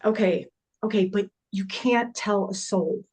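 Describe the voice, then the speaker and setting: Woman as a trusting office clerk, mid-pitched. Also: a little slow, darkish tone, husky. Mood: hesitant. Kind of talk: horror script table read.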